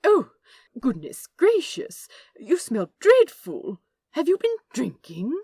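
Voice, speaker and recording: A woman's voice: high-pitched, huge pitch swings, slow, dark tone, no room sound, studio quality, pitched above the performer's own voice, full character voice. A woman voicing a prim, fussy male angel with a genteel lilt, polite and easily flustered. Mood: prim concern. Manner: lilting, genteel, proper, hesitant when flustered.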